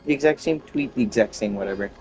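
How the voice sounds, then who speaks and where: A man's voice: mid-range voice, quick pace, over music. A man chatting casually during a video game.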